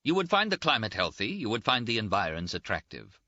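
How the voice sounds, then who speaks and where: A man's voice: lowish voice, wildly swooping pitch, a little fast, bright, forceful tone, no echo, voice pushed up, exaggerated voice. A man voicing an indignant, pedantic petty official, pompous and argumentative, in audiobook dialogue on a slightly dull, dry recording. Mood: indignant, insistent.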